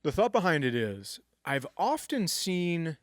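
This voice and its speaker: A man's voice: fairly high pitch, very animated, measured pace, no echo, studio quality. A man co-hosting a comedy podcast, rambling through stories in casual, swearing banter.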